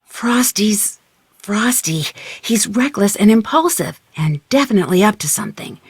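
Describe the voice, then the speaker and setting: A woman's voice: mid-range voice, lively intonation, moderate pace, husky, no echo. A woman playing an inquisitive, polite young female amateur detective in a video game, asking questions in a curious way. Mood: suspicious, earnest. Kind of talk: video game dialogue acting.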